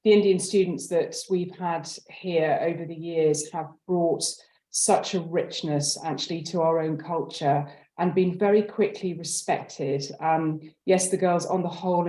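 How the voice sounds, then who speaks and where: A woman who is a school head answers in a measured, considered way on a webinar panel, in roomy, echoing audio. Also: low-pitched, warm tone. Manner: measured, considered, hedging phrasing.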